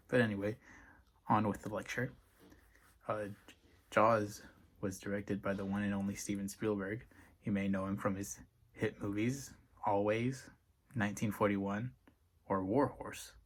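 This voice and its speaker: A young man giving a class lecture, talking casually with dry joking asides, his voice a little rough from being sick. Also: lowish voice, little pitch movement, measured pace, long pauses. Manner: dry jokey asides, plain delivery. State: voice recovering from strep, a little rough. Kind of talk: casual recorded class presentation.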